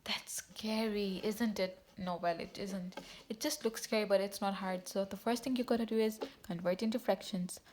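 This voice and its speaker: A woman's voice: medium pitch, steady tone, normal speed, hardly any pauses, a little mellow, slight background noise. Chatty woman teaching math. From Tanzania. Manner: chatty, self-correcting, talks to viewers directly. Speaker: math tutor. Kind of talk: casual teaching video.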